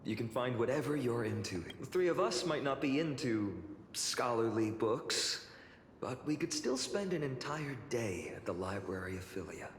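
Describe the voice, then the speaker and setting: A man's voice: mid-range voice, expressive, normal speed, slight background noise, close to an everyday voice. An actor playing an earnest, courteous young swordsman hero in a video game. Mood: friendly, gentle. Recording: echoey reverberant hall, game music behind.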